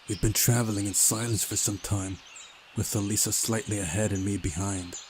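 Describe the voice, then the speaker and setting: A man's voice: lowish voice, steady tone, moderate pace, noisy background. A man reading a visual novel aloud as narration, with occasional casual side comments. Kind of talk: story narration with casual asides.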